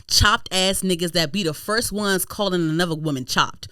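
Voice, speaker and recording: A woman hosting a podcast in a casual gossip chat, animated, slangy and profane, often repeating herself for emphasis. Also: on the low side, moderate pace, clean tone, dry recording, studio quality. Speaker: podcast host.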